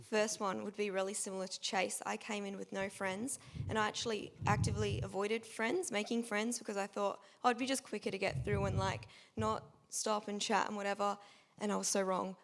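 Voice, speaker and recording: Woman student with a gravelly edge. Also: mid-range voice, little pitch movement, a little fast. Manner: frank, friendly advice. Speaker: student panelist. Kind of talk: casual panel talk.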